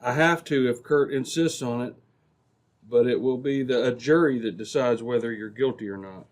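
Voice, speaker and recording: A man playing a calm, methodical police sergeant in an old-time radio drama, with a measured, questioning manner. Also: mid-range voice, close to an everyday voice. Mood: calm, probing.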